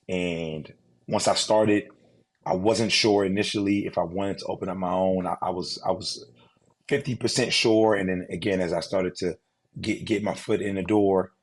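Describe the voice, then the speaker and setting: Husky low-voiced man telling his story. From United States. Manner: relaxed storytelling with many fillers and restarts. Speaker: guest, insurance agency owner. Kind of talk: casual interview.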